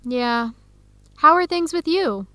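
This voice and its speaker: An earnest young woman on a search, kinda high voice, dry studio sound, radio drama chat. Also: expressive, slow pace, a bit bright, dry recording, heavily acted. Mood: warm, upbeat. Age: young adult. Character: earnest young American woman researcher searching for her birth family. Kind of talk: scripted educational radio drama dialogue.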